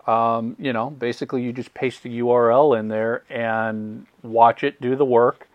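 A man's voice: mid-range voice, dry recording. A man hosting a casual podcast interview, asking long questions with stammered restarts. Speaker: podcast host.

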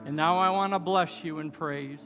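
Seventy-year-old preacher, high bright voice. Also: male voice, normal speed, lo-fi sound. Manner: exhorting, repetitive declarations, breaking into shouted praise. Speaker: pastor. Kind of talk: sermon and altar call. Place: large church hall. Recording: hall reverb with music behind.

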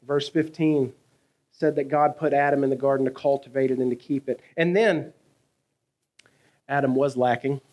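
A man, preaching a sermon in a conversational, animated way, asking his listeners questions and telling stories from his own life. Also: mid-range voice, moderate pace, pauses often, highs cut off. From American South. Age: 30s. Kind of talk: sermon with personal storytelling.

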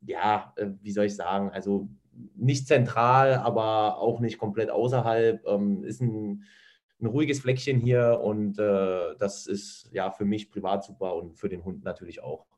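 A man's voice: on the low side, steady tone, a little slow, a little mellow. A man chatting as a guest, easygoing and hesitant with many fillers. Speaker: guest, ice hockey player.

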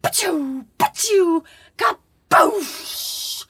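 A performer playing an excitable young boy in an audio drama, acting out cops and robbers with mouthed gunshots. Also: very high-pitched, very slow, dry recording, clean studio sound, full character voice. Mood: excited play.

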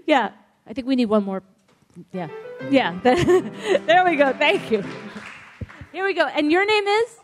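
Chatty high-voiced woman moderator. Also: lively intonation, music in the background. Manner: chatty, halting, laughing often. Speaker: panel moderator. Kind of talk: live panel moderation. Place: live event on stage.

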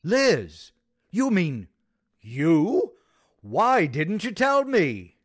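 An actor playing a suave, excitable man about town in a radio sitcom, theatrical and emphatic. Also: sits high, extremely slow, no room sound, studio quality, exaggerated voice. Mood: astonished, delighted.

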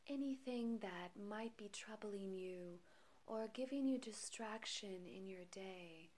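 A woman guides a meditation at a very slow pace. Her medium-pitched voice is soft and breathy. She speaks gently and calmly, leaving long pauses between short phrases. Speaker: meditation guide and podcast host.

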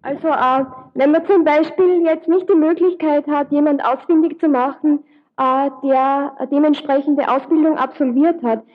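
A woman's voice: sits high, steady tone, normal speed, narrow, tinny audio. Careful woman asking a formal question. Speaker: audience member.

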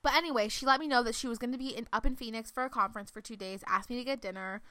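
A young woman in her twenties chats casually on a podcast, leaning on fillers as she talks. Her voice is bright and crisp, a little congested, and recorded dry and close with no room sound. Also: fairly high pitch, very fast, no echo. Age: 20s. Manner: chatty, lots of fillers. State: slightly congested. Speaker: podcast co-host. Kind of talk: casual chat.

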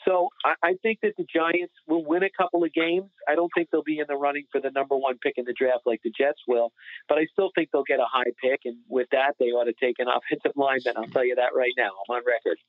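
An American man co-hosting a sports podcast, chatting casually in an animated, opinionated, colloquial way. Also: medium pitch, fast, no room sound. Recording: muffled phone-like connection.

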